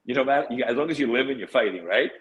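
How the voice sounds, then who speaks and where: Fast-talking husky low-voiced man. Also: expressive, muffled recording. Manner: rambling, asks "right?" often. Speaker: guest. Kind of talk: casual chat.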